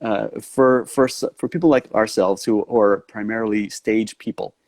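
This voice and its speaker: Male host with a New York accent, low-ish and dry, rambling with frequent restarts, video call. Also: normal speed, darkish tone, no room sound. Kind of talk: live online interview.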